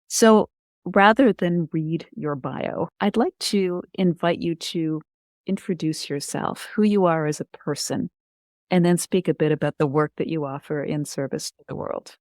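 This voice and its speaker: A woman hosting a podcast, giving a gentle, formal welcome and inviting her guest to introduce herself. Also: deep voice, unhurried, no echo, studio quality. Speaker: podcast host. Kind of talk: podcast introduction and interview questions.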